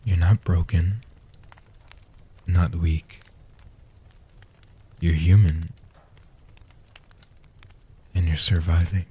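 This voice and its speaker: A man playing an ancient, protective male vampire in a soft-spoken comfort roleplay, speaking in a breathy, hushed, deliberate and soothing way. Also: deep voice, fairly even intonation, a little hiss or hum. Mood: tender, reassuring. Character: ancient male guardian vampire, protective, tender, world-weary.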